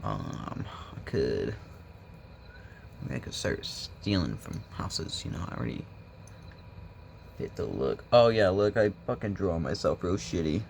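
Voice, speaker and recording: Young male vlogger, smoke-roughened voice, lowish, loose rambling jokes, phone mic with faint hiss. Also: very animated, a little slow, pauses often, a little mellow. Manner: meandering, joking, laid-back. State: hoarse from smoking. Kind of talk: rambling casual vlog chat.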